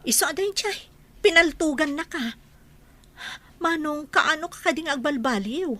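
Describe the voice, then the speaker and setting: An actress playing an exasperated younger sister, a singer, in a radio drama. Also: female voice, high-pitched, animated, measured pace, bright, forceful tone, full character voice. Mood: worried, scolding. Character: popular singer Adelfa, exasperated with her wayward older brother. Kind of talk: Ilocano radio drama acting.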